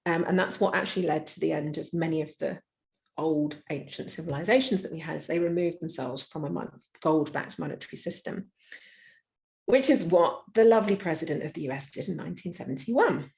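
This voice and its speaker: A woman's voice: lowish voice, a little mellow. A middle-aged woman giving a live slide presentation in a conversational way, with frequent fillers and restarts.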